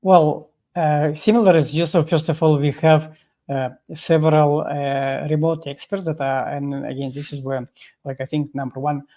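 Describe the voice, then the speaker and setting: A man being interviewed as a guest over a video call, explaining at length in a halting way full of fillers and restarts. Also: fairly high pitch, flat delivery, mellow. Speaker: guest expert.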